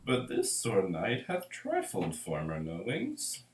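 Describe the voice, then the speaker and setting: A man playing a slow, troubled old man. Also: deep voice, wildly swooping pitch, exaggerated voice. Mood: troubled, grave. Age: 70s. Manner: slow, portentous verse delivery. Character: old man in his seventies, troubled by omens. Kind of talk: Shakespearean radio play acting. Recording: roomy, distant, noisy background.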